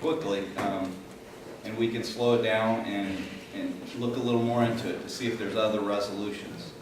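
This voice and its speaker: A man's voice: on the low side, fairly even intonation, normal speed. A man speaking casually at a community meeting, explaining what a set of brochures cover. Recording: echoey room, distant mic, noisy.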